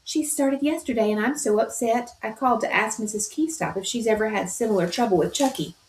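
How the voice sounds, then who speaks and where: A woman's voice: a little high, a little fast, slight background noise, natural voice. A woman voicing a prim, worried mother, read aloud from a storybook. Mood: fretful. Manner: fretful, prim. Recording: roomy echo.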